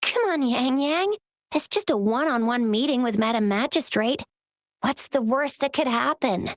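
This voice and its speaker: A woman's voice: high voice, expressive, moderate pace, dry recording, clean studio sound. An actress playing a bold, joking young woman companion in a game cutscene. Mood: breezy. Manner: brash, joking, breezy.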